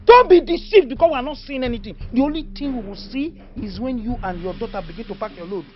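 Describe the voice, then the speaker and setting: A mocking, defiant woman, played by a woman whose high voice climbs and drops as she taunts. The sound is dull and lo-fi with faint music in the background. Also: animated, fast talker, close to an everyday voice.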